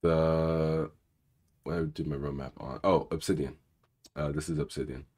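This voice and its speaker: A man with an extremely deep, rumbling voice that is rough and husky because he is sick. He talks casually and in a rambling way as he walks viewers through his code, filling pauses with "um" and "like" and muttering as he clicks around. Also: flat delivery, a little mellow. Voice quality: low rumbly voice. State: sick, more raspy than usual. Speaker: cybersecurity channel host. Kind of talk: casual live coding walkthrough, reading viewer questions.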